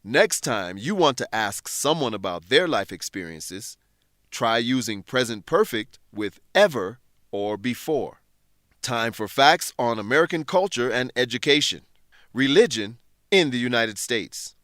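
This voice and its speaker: A man presenting an English lesson in scripted narration, explaining things in a measured way with careful enunciation. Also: medium pitch, lively intonation, fairly bright tone, dry recording, studio quality.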